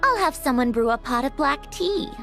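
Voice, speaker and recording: A woman voicing a haughty, teasing young woman of high rank in a video game, sardonic and aloof. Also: animated, moderate pace, over music. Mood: aloof, composed. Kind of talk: video game cutscene acting.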